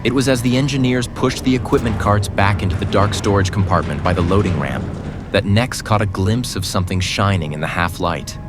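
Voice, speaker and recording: A man's voice: on the low side, a little fast, music in the background. A man narrating a scripted audio drama as a dramatic storyteller.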